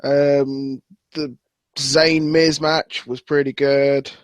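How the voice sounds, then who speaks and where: A man's voice: medium pitch, flat delivery, very slow, dry recording. A man co-hosting a wrestling podcast, adding short, easygoing comments in casual chat. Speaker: podcast co-host.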